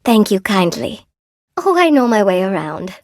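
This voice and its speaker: An actress voicing a friendly, lonely weaver spider woman in a video game, with a gentle, quaint, expressive and chatty manner. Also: mid-range voice, normal speed, dry recording, studio quality, lightly acted. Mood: delighted, welcoming. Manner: gentle, quaint, folksy, expressive, self-effacing. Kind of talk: video game character acting.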